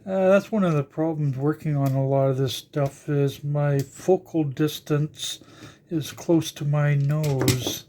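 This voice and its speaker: An older electronics hobbyist giving a casual, unscripted teardown narration, halting and musing aloud while working with their hands. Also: mid-range voice, warm tone, slight background noise. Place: indoors at a workbench.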